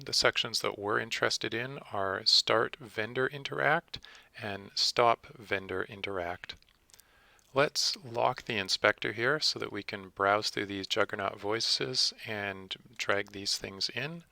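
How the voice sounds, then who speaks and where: A man narrating a step-by-step software tutorial in a methodical, instructional manner. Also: fairly low pitch, vocal fry, dry recording.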